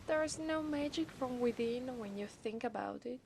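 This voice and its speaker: A woman with an Italian accent voicing a coy, possessive mermaid in a soft, breathy ASMR roleplay. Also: a little high, moderate pace, a little hiss or hum. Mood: tender, dreamy. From Italy. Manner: coy, teasing, breathy murmurs. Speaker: ASMR voice actress.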